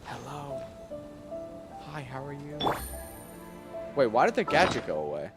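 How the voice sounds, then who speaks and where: A man's voice: mid-range voice, big pitch swings, unhurried. A man chatting casually on a gaming livestream in a playful, self-deprecating way. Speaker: game livestreamer. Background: game music.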